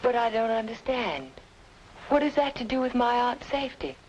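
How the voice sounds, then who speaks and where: A woman's voice: fairly high pitch, sing-song, moderate pace, a little mellow, lo-fi sound. Troubled young woman, noisy old recording. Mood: worried, doubting. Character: polite, troubled young woman questioning those around her. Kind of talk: old-time radio noir drama acting.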